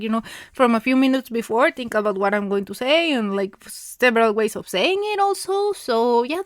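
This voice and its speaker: Woman, thirties, Mexican Spanish accent, native Spanish speaker, informal solo podcast chat; fairly high voice, hesitant, lots of fillers, laughs lightly, dry close recording. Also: no echo. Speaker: podcast host. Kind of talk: casual monologue.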